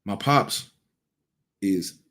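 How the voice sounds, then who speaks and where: A man's voice: very slow, fairly bright tone. A man in his thirties giving casual cultural commentary, blunt and profane, rambling with frequent restarts. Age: 30s.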